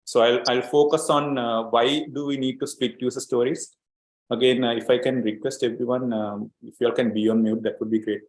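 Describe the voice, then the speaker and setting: A man's voice: medium pitch, steady tone, a little fast, roomy sound, low-quality recording. A man from Sri Lanka presenting an online training webinar as an agile coach, explaining steadily with many fillers and repeated words.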